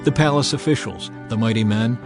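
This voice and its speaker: A man's voice: medium pitch, brisk. Man narrating scripture over faint music. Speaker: Bible narrator. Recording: music behind the voice. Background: background music.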